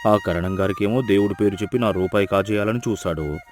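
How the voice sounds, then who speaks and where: A man's voice: lowish voice, a little fast, faint music behind, close to an everyday voice. A man voicing a miserly, cunning village farmer in a moral story, whining and grumbling with exaggerated excuses. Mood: scheming, grumbling. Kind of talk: dramatised moral story voice acting.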